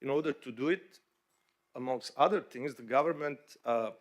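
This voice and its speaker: A man from Bosnia speaks English as an at a conference panel. He talks in a halting, conversational way, with frequent fillers and restarts. His voice sits at a middle pitch. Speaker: bank executive panelist.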